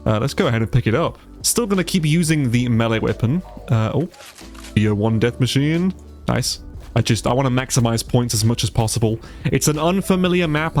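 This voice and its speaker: Male let's play YouTuber, bunged up with a cold, lively melodic delivery, loose, profane and readily impressed, light music underneath. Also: medium pitch. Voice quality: congested, stuffy-nosed. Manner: casual, sweary, easily amazed. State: sick with a stuffy nose. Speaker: gaming YouTuber, player. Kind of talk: casual let's play commentary.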